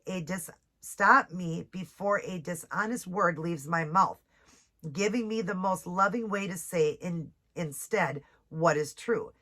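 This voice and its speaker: A woman with a low, stuffy voice, still getting over a cold. She chats casually to her viewers. She reads devotional lines at an unhurried pace. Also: a bit bright. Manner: chatty, reads scripture slowly, apologizes often. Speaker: nail and devotional vlogger. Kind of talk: casual chat with devotional reading. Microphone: ring light setup, camera close.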